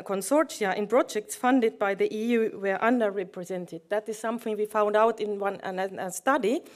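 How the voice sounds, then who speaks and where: A woman from Finland, a non-native English speaker, giving a conference presentation in a halting, hesitant way. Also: medium pitch. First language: not English. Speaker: project manager presenting. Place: conference room.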